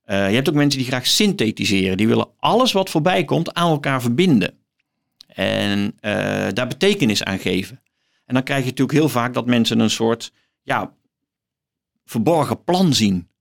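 A middle-aged man from the guest's chair, speaking in a gravelly, creaky voice at medium pitch. He explains books conversationally, pausing and repeating words while he looks for the right phrase. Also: expressive. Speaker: guest, professor.

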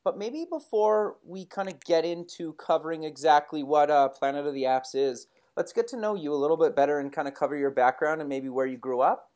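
A man's voice: a little high, brisk, few pauses, darkish tone. A man hosting an interview podcast, asking casual questions with lots of fillers. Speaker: podcast host.

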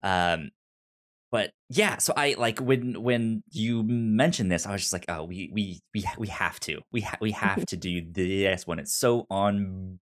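A man with a low-pitched voice chats casually as a podcast co-host. He stammers often, doubling back on words and syllables as he gives his take. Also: measured pace, a bit bright. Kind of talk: casual review chat.

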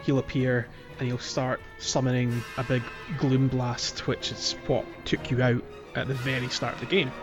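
A man talks through a video game as a podcast co-host in a casual, conversational way. His voice sits at a middling pitch, and he explains things haltingly, with a jokey streak. Music plays underneath. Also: little pitch movement.

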